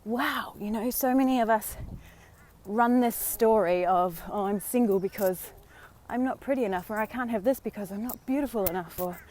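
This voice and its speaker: An Australian woman vlogging live on a walk outdoors, slightly hoarse from a sore throat, talking in a chatty, encouraging way with many fillers. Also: on the high side, moderate pace, a little mellow, a little hiss or hum. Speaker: vlogger and dating coach. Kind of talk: casual live-stream talk.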